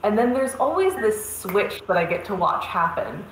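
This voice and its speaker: A woman's voice: medium pitch, big pitch swings, moderate pace. A woman speaking on a casual panel discussion, chatty, with frequent "you know" fillers. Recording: echoey room, faint background noise.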